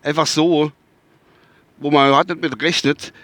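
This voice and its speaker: A man with a Westphalian accent talks through his podcast from inside a moving car. His voice sits slightly high for a man. He rambles, restarts sentences and hunts for words, with the odd casual swear. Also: steady tone, measured pace, a bit bright, no echo. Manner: rambling, searching for words, many fillers and restarts. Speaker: podcast host. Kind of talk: casual spoken podcast monologue. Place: inside a car while driving.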